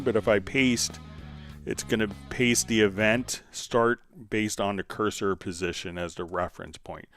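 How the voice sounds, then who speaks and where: A man hosting a live software tutorial in an informal, conversational way, thinking aloud as he demonstrates. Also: fairly low pitch. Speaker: livestream host and software instructor.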